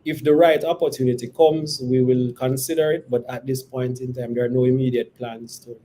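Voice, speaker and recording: A man with a mellow, relaxed voice answers investor questions as a company executive. He phrases things carefully and hesitantly, and there is steady noise in the background. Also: mid-range voice, brisk.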